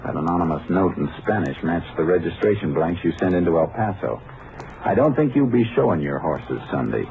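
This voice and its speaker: An actor playing a steady, shrewd American Texas Ranger in a 1950s radio drama, on a thin vintage recording. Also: male voice, low-pitched, a little fast, vocal fry, narrow, tinny audio, a little hiss or hum. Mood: firm, dry.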